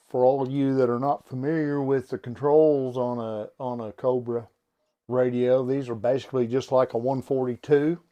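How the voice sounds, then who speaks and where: A man giving a casual product demonstration in a folksy, halting, unscripted way, as someone who works on radio gear. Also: medium pitch, mellow, highs cut off. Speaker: radio seller and technician demonstrating equipment.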